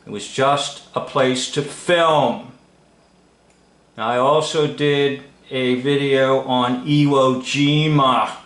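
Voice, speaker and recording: Slow-talking man in an echoey room. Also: medium pitch, steady tone, a bit bright. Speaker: video host and commentator. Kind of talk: informal unscripted commentary on an old film. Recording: roomy, echoing room sound.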